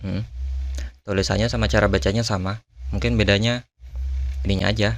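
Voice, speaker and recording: Male host, lower register, informal and musing, for a relaxed question-and-answer chat. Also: brisk, vocal fry, no room sound. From Indonesia. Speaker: language channel host answering viewer questions. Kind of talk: casual Q&A chat.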